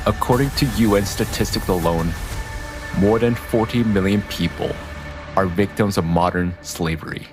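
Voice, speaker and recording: A man narrates a documentary script in a very deep voice. Music plays clearly behind him. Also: normal speed. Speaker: video narrator. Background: background music.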